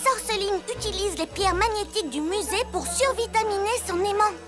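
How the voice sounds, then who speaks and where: A performer voicing a spirited, practical young girl superhero in a cartoon, brisk and assertive. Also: extremely high pitch, loud music behind the voice. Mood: determined.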